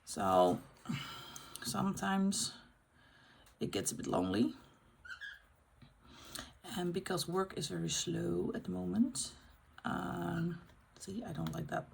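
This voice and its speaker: A middle-aged woman from the Netherlands with a Dutch accent, chatting casually in English as the host of a colouring channel, pausing often to find her words. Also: on the low side, animated, gravelly, a little hiss or hum. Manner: unscripted, pauses to find words. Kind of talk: casual chat while colouring.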